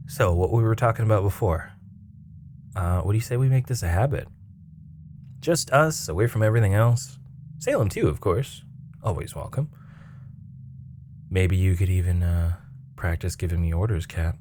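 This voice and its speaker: A man playing a seasoned, flirty and chatty spaceship mechanic in an intimate ASMR roleplay, teasing and breathy, dropping toward a whisper. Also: low voice, normal speed, pauses often, darkish tone, natural voice. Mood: playful, flirty. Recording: clearly noisy ambient background hum.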